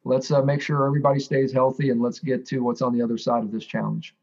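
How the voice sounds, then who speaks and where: A man signs off as a guest on an interview show, speaking casually and pausing on fillers. His voice comes through dull, lo-fi remote audio at a middle pitch. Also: little pitch movement, darkish tone, slightly rough. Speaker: departing guest.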